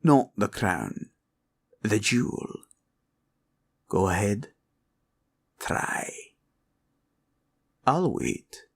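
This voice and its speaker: A menacing green dragon speaks in a low, gravelly growl, drawling very slowly. The delivery swoops between breathy rasps and rumbles, sly and greedy, in an intimate fantasy roleplay style. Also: male voice, wildly swooping pitch, heavily acted. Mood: sly, boastful. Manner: slow, drawling, taunting, archaic turns of phrase.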